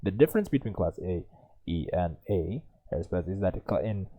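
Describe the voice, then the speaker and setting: A man's voice: deep voice, animated, normal speed, husky, phone-quality sound. A young man tutoring, reading an exam passage aloud with many stumbles and self-corrections, then thinking through the answers. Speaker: IELTS tutor.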